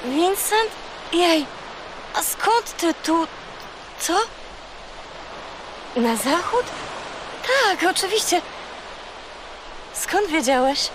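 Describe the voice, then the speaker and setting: A woman's voice: very high voice, big pitch swings, drawn-out words, pauses often, background noise, exaggerated voice. A woman playing a brave, imaginative thirteen-year-old girl, eager and childlike, in a children's audio drama. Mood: earnest, excited.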